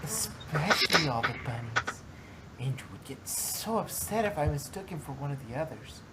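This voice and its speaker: A woman voicing a shy, gentle, earnest female pegasus pony in a fan fiction reading. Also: sits low, expressive, moderate pace. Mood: gentle, proud. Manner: timid, earnest, apologetic. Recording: noisy recording.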